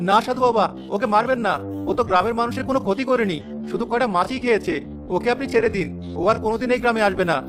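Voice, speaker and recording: A man voicing a kindly, quick-witted village fisherman who reasons earnestly in an animated folk tale. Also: very high-pitched, over music. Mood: pleading.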